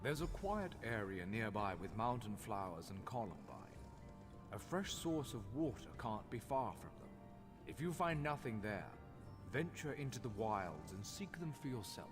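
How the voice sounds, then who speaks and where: An actor voicing a haughty, scholarly master craftsman in a fantasy video game, speaking in a formal, measured, instructional way. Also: lowish voice, big pitch swings. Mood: instructive. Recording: long hall reverb, faint music behind.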